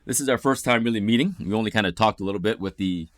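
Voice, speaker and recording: A man's voice: fairly low pitch, racing, no echo. Upbeat chatty man hosting an interview. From Ontario, Canada. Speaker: interview host. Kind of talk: casual interview chat. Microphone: video call setup.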